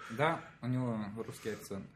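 A man with a light Russian accent, explaining slowly with many fillers and pauses in a casual chat. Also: mid-range voice, a little hiss or hum.

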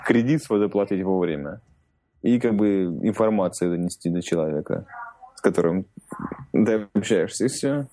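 A man's voice: sits low, moderate pace, warm tone. A man co-hosting a casual podcast chat, rambling with lots of filler words. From Russian-speaking immigrant in North America. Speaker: podcast co-host.

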